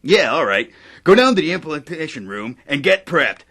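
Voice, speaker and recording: A man playing a grandiose, deliberate genetic engineer in a satirical sci-fi audio drama. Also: on the high side, sing-song, fairly bright tone, slightly rough, exaggerated voice. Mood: solemn. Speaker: actor.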